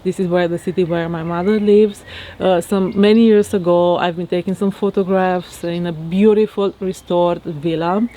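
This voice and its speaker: A woman from Romania with a Romanian accent, talking reflectively to her viewers in a halting way with many fillers. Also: on the low side, steady tone, dark tone, poor audio. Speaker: vlogger. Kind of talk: casual monologue to camera. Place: outdoors in her garden.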